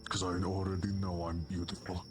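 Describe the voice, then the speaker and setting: A man playing a gruff, laconic ogre hunter in fantasy roleplay, with a deadpan manner. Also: sits low, a little fast, over music, close to an everyday voice.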